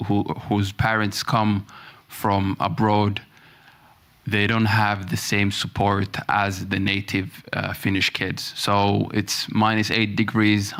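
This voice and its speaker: Male panelist, a referee, level and low-pitched, earnest. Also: fairly bright tone. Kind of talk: panel discussion.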